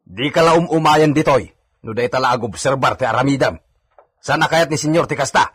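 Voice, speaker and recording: A man's voice: medium pitch, fairly quick, a bit bright, breathy edge. A man playing a cautious household servant in a radio drama, warning and admonishing with an Ilocano accent. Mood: scolding, warning. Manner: admonishing, wary.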